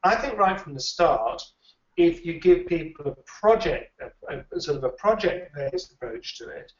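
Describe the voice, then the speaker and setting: A man, a recruitment trainer, answers questions at an easy pace and circles back on his words as he thinks. His voice sits a little high for a man. It is recorded from a distance in an echoey room, with a dull, muffled sound. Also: animated, moderate pace. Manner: thoughtful, repeats words while thinking. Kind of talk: conversational interview answers.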